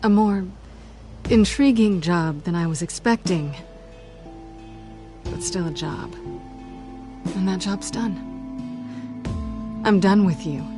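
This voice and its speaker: A woman's voice: mid-range voice, slow, pauses often, close to an everyday voice. A woman playing a sly, cynical cat burglar in a dramatic video game, teasing and coolly dismissive. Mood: defensive, cold. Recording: background music under the voice.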